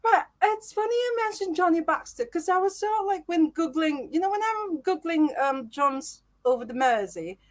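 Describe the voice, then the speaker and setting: A woman with a high voice hosts a live podcast. She sounds nervous and giggly and fills her sentences with "um." She talks over a video call. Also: animated, moderate pace, hardly any pauses. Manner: nervous, lots of ums, giggly. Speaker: podcast host. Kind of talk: casual live podcast hosting.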